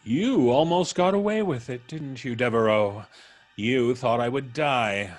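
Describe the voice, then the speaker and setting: A man's voice: mid-range voice, a little slow, natural voice. An actor playing a vengeful ex-convict blackmailer in old-time radio style, taunting and mock-polite as he threatens. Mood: taunting, menacing.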